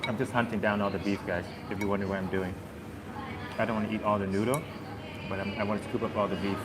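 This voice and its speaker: A man in his thirties talks to his live viewers in a loose, rambling way, full of "like" and "uh". His voice sits a little low. Loud traffic from a busy street nearly buries him. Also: flat delivery, quick pace. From Southern Vietnam. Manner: rambling, filler-heavy and easygoing. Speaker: vlogger. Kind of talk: casual chat with live viewers. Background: loud traffic and street noise.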